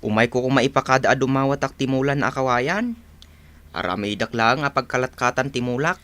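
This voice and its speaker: A man's voice: mid-range voice, a little fast, bright, forceful tone, clean tone, lightly acted. A man playing a polite visiting cousin from the village, with a Filipino accent, in a radio drama. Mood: polite, friendly. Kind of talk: Ilocano radio drama acting.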